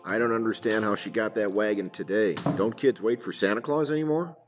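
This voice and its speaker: A man's voice: on the low side, fairly quick, music in the background, natural voice. A man playing a dry, resigned police detective partner in an amateur radio play, on a noisy recording.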